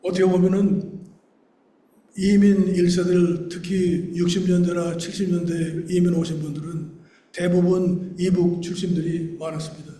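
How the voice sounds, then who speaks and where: A Korean-born male pastor in his sixties, delivering a sermon in a measured way, with phrases that trail off softly at the ends. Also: high-pitched, flat delivery, reverberant. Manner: slow and deliberate in English, brisker in Korean.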